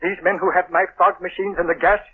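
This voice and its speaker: A man's voice: sits high, flat delivery, brisk, husky. An actor playing a guilt-ridden male scientist in a 1930s radio drama, speaking with urgent, pleading gravity. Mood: grave. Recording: tinny old radio broadcast with hiss.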